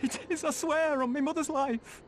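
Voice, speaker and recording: An actor playing a frightened peasant in a video game, stammering and pleading. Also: male voice, extremely high pitch, steady tone, moderate pace, music in the background. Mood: panicked pleading. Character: frightened, pleading male peasant tribute bearer. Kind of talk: video game cutscene voice acting.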